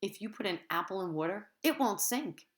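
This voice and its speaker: A woman's voice: medium pitch, lively intonation, moderate pace, lightly acted. A self-assured little schoolgirl, smug and keen to show what she knows , played by a woman reader. She is a storybook know-it-all. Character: smug know-it-all third-grade girl.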